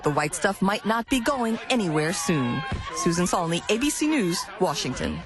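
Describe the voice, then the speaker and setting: A woman's voice: fairly low pitch, big pitch swings, moderate pace, music in the background. A woman narrating a scripted television news report as a correspondent.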